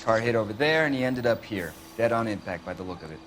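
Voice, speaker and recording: A man's voice: lowish voice, animated, fast, a bit bright, slight background noise. A matter-of-fact police patrolman. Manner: plain, factual, dryly wry. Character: matter-of-fact male uniformed patrolman reporting to a detective. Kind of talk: scripted crime drama acting.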